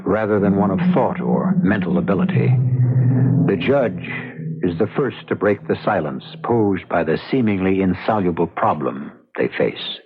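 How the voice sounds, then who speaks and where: A man hosting and narrating a radio mystery in a theatrical, portentous old-time style with deliberate phrasing. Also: low-pitched, lively intonation, phone-quality sound. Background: music.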